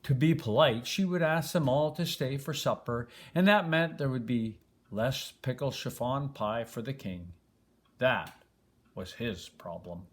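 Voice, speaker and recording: A man's voice: mid-range voice, a little slow. Older man reading a storybook aloud. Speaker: grandfather reading a children's book aloud.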